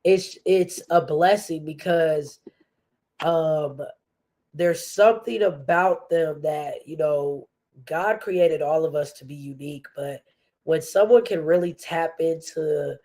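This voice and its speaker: A woman's voice: low-pitched, warm tone. A woman hosting a faith-based podcast, talking earnestly with a stuffy, congested nose and frequent fillers. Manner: slow, earnest, with many fillers and restarts. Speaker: podcast host.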